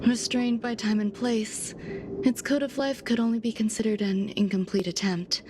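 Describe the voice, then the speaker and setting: An actress voicing a cool, composed female genius scientist in a video game, speaking in a calm, measured way. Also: fairly high pitch, background noise, natural voice. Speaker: game character.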